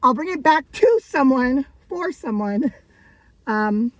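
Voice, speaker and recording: Chatty raspy-voiced woman vlogger. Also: high-pitched, animated, takes it slowly, no echo, rough recording. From Canada. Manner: chatty and rambling, frequent likes and laughs. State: raspy from coughing. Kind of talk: casual thrift haul vlog.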